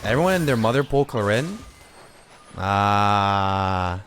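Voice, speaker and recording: A man's voice: lowish voice, lively intonation, slow, noisy background. A man livestreaming a game with casual, sweary, slangy commentary, often repeating his words. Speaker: streamer. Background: game music.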